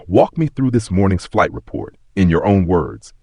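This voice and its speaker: A man voicing a calm, stern, quietly menacing airline CEO in an audio story, measured and firm. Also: low voice, slightly rough, no room sound, studio quality, full character voice. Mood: calm, probing.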